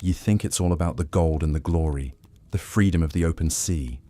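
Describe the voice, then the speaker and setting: A man voicing a grizzled, world-weary old pirate with a rough, raspy voice, telling grim tales in sailor dialect for a story. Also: extremely low pitch, fairly quick, warm tone, no echo. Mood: grim, challenging.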